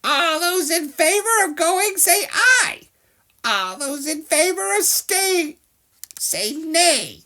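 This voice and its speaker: A woman voicing a bossy, pushy little bug among freeloading animal guests in a storybook, shouty and insistent. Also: extremely high pitch, animated, slow, pitched above the performer's own voice, exaggerated voice. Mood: smug, officious. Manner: shouty, insistent, self-righteous. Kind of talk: storybook character voice.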